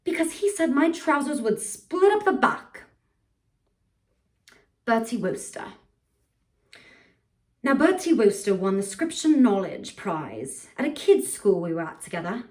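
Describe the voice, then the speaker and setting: A woman acting out a tipsy posh English gent, put-on upper-class British accent, rambling and blustering, slow speech, mic far away. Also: a little high, big pitch swings, a little mellow. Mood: pompous rambling. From United States. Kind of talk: theatrical monologue performance.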